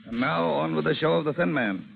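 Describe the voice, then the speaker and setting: Gravelly, quick male radio drama narrator. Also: a little high, loud music behind the voice. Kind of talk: scripted vintage radio narration. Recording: vintage radio recording, narrow band.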